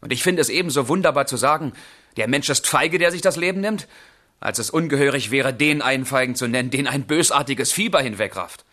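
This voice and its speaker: A man's voice: medium pitch, lively intonation, brisk, pushed and bright, dry recording, studio quality. An actor playing a passionate, brooding young man of the 1770s in a literary radio drama, with fervent, declamatory delivery. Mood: fervent, arguing. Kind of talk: literary radio drama, dialogue and letter monologue.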